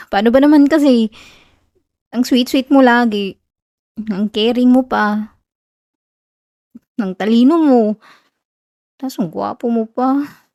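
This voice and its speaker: Girl acting as a whiny cute filipino college tsundere, soft asmr roleplay, shy n hesitant, super dry close mic. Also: on the high side, dry recording. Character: young college woman, whiny tsundere best friend shyly confessing love.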